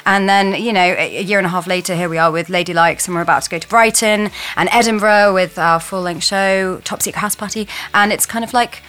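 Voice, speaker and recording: A woman guest chatting casually and telling anecdotes in a gushing, excitable way. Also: medium pitch, a little fast, hardly any pauses. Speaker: guest actor and comedian.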